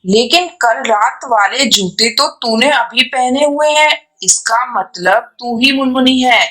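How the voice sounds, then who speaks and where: A woman's voice: fairly high pitch, slow, a bit bright. A woman voicing an older, exasperated mother-in-law pigeon in a cartoon story, with a dramatic, scolding manner. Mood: angry, scolding. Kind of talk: Hindi animated moral story acting.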